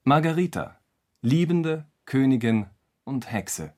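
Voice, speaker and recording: A man's voice: medium pitch, animated, slow pace, a little mellow. A man announcing solemnly and dramatically in a radio feature, with weighty, portentous phrasing.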